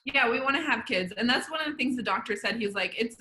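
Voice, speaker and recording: A young woman in her late twenties talks as a podcast guest with a bright, forceful tone. She rambles through her thoughts in casual chat, filling gaps with "like" and "you know". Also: a little high, animated, quick pace. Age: 20s. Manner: rambling, heavy use of "like".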